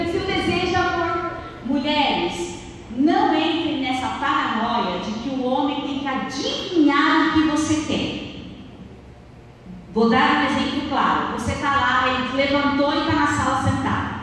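Lively woman speaking in an echoey hall. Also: high-pitched, a little hiss or hum. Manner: lively, playful, acts out little scenes. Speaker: marriage speaker. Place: large dark stage, church hall. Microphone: handheld mic. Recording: echoey hall recorded from far off.

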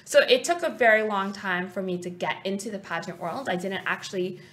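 A young woman from Canada, in her late twenties, talks as a guest into a desk mic in a small studio. She is earnest and reflective, and her thoughts ramble through fillers and restarts in a mid-pitched voice. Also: fairly quick, a bit bright, a little hiss or hum. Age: 20s. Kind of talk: casual interview chat. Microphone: desk mic on stand.

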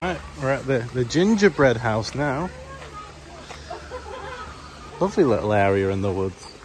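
A man's voice: medium pitch, sing-song, fairly quick, a little mellow, slight background noise, low-quality recording. A British man chatting casually as he films a walkaround vlog outdoors. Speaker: vlogger. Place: outdoor family park, walking in woods.

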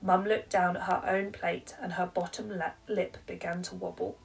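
A woman's voice: fairly low pitch, flat delivery, normal speed, vocal fry, distant mic, faint music behind, low-quality recording. A woman, reading a picture book expressively to young children like a primary teacher.

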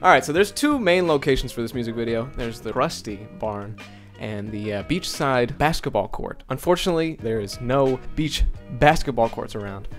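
Chatty young man, Finnish native speaker. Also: mid-range voice. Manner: chatty, jokey, animated. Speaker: video creator. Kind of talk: casual tutorial vlog. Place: small bedroom. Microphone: handheld dynamic mic close up.

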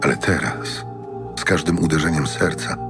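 Male narrator for fantasy audio drama, very low-pitched, music underneath. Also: moderate pace.

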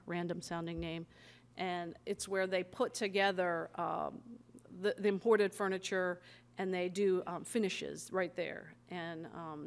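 A woman in her fifties, an author speaking at a podium in a library meeting room, talking in a chatty, animated way with many ums and restarts. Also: fairly low pitch. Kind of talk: talk and audience Q&A. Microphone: podium microphone.

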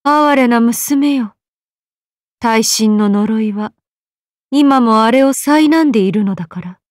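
A woman's voice: fairly high pitch, slow, no echo, clean studio sound. A woman playing a regal goddess queen in an anime game, lofty and old-fashioned in speech. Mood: wistful, regal. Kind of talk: anime mobile game voice acting.

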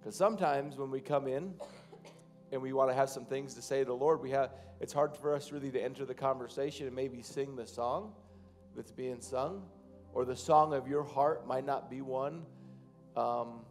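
Halting man preaching on a church stage. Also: medium pitch, fairly even intonation, darkish tone, faint music behind. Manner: loose, halting, repeats and restarts phrases, rising to fervent prayer. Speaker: pastor. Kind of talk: sermon and congregational prayer. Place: large church stage, indoors.

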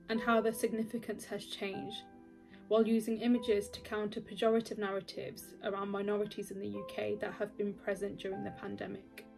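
A woman artist reading an even, steady statement about her work. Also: medium pitch, vocal fry, low-quality recording. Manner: even, read aloud.

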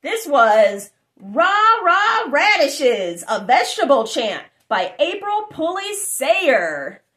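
A young adult woman reading aloud for children in an exuberant, sing-song chanting way with drawn-out words. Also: sits high, big pitch swings, fairly bright tone. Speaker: host of a children's read-aloud channel. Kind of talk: animated children's picture-book read-aloud. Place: small furnished room indoors.

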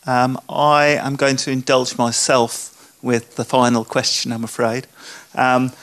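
A man's voice: medium pitch, fairly bright tone. A man informally moderating a panel discussion as its facilitator.

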